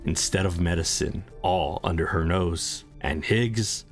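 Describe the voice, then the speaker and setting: A man's voice: low-pitched, measured pace, faint music behind. A man reading scripted story recap narration.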